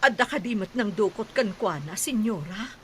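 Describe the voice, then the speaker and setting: A woman's voice: mid-range voice, animated, moderate pace, breathy edge. A woman playing a humble, pleading servant mother in a radio soap drama.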